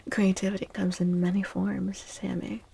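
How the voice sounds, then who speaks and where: A woman livestream host speaking in a soft, gentle ASMR style, often half-whispering in a breathy, soothing and affectionate way. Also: mid-range voice, flat delivery, normal speed, slight background noise. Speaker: livestream host doing tarot readings.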